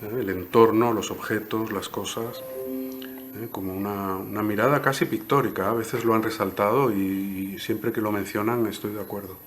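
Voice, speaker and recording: A man's voice: lowish voice, fairly even intonation, normal speed, soft background music. A man in his sixties from Cuba, answering interview questions thoughtfully and often ending phrases with a questioning tag. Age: 60s. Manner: thoughtful, frequent "¿no?" tags. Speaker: interview guest, poet.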